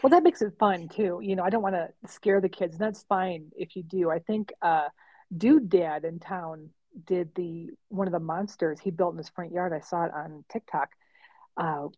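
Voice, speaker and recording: A woman's voice: on the low side, normal speed, a little mellow, no room sound, dull audio. A woman co-hosting a podcast in casual chat, chatty and laughing often. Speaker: podcast co-host.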